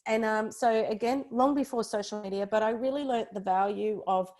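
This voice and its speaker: Australian female, 40s, conversational podcast guest, chatty and informal, mid-range pitch. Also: moderate pace, darkish tone. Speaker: podcast guest, personal branding expert. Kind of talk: conversational interview, recounting own career.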